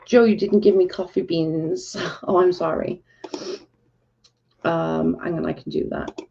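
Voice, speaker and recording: A woman's voice: fairly low pitch, a little slow, creaky, warm tone, lo-fi sound. A Welsh woman hosting a casual livestream, talking bluntly and with exasperation to her chat. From Wales. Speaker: livestream host.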